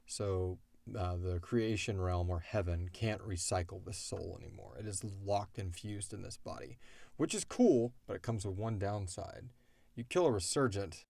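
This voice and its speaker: Low-voiced man in rambling casual podcast chat. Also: normal speed, vocal fry, a little mellow, no room sound. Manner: rambling, joking explanations with acted-out quotes. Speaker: podcast co-host and creator of an audio drama, answering fan questions. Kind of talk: casual question-and-answer chat.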